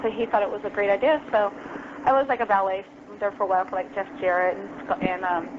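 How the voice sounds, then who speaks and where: A woman's voice: medium pitch, steady tone, fast talker. A young adult woman telling her story as an interview guest over the phone, casual and full of ums and you-knows. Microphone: phone call. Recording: lo-fi phone line, noisy.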